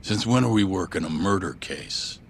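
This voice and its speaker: An actor playing a gruff, world-weary male law office boss in a crime drama game dub, speaking bluntly. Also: sits low, lively intonation, moderate pace, slight background noise. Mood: gruff, pleased. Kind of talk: English video game dub acting. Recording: music behind the dialogue.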